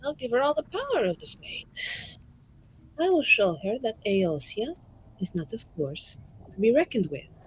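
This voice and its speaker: A woman's voice: on the high side, big pitch swings, moderate pace, pauses often, husky, poor audio. A woman chatting casually in character as a player in a tabletop game, playfully dramatic.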